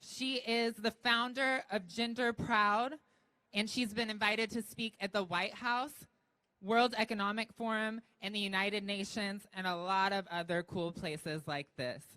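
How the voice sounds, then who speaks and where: A woman's voice: medium pitch, steady tone, a little slow, bright, forceful tone, no room sound, poor audio. A woman emceeing a march, thanking groups and introducing the next speaker with upbeat energy. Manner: upbeat, cheering. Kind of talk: event introduction. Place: outdoor rally.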